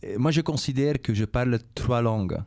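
A middle-aged Belgian executive whose first language is Dutch, speaking French with a Flemish accent and answering questions in a measured way, with frequent hesitations. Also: male voice, mid-range voice, expressive, vocal fry, a little mellow. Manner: measured, repeats himself, frequent "euh" and "et cetera". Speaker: business executive interviewed by schoolchildren. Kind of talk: calm explanatory interview answers.

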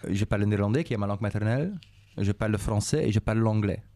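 Belgian man with a Dutch-speaking accent, mellow voice. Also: fairly low pitch. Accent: Flemish Dutch-speaking Belgian. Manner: measured, repeats himself, frequent "euh" and "et cetera". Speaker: business executive interviewed by schoolchildren. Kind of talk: calm explanatory interview answers.

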